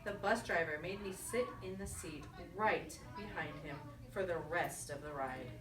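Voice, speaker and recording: A woman's voice: fairly low pitch, moderate pace. A woman teacher reading a picture book aloud to children, encouraging them with questions and repeating their answers. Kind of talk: storybook read-aloud with conversational questions to children. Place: echoey indoor room with children. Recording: echoey room, distant mic, faint noise.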